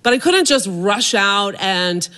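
A middle-aged woman from suburban Maryland tells a personal story onstage in a self-mocking, comic way. Her voice sits at an ordinary pitch for a woman, and her timing carries the jokes. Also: expressive, slow, pushed and bright. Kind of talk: live humorous personal storytelling.

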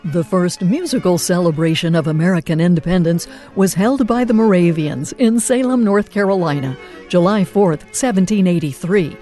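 A woman's voice: lowish voice, normal speed. A woman presenting a scripted promo for her music program. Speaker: radio program host.

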